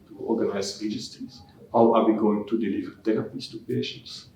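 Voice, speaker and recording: A man from Belgium, a scientist answering interview questions in a halting way, often repeating words as he searches for phrasing. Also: fairly low pitch, dark tone. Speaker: scientist giving concluding remarks. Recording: echoey hall, mic at a distance, faint noise.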